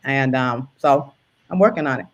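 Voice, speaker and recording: A woman's voice: a bass voice, moderate pace, highs cut off. A woman, a children's book author, who chats casually as a guest and reads storybooks aloud. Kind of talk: casual interview talk, then storybook reading.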